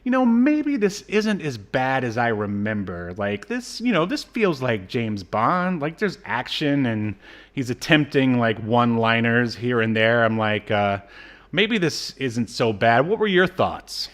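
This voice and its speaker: A man's voice: mid-range voice, big pitch swings, a little slow, fairly bright tone. A man co-hosting a podcast, casually recapping an episode with wry, sarcastic asides. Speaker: podcast co-host.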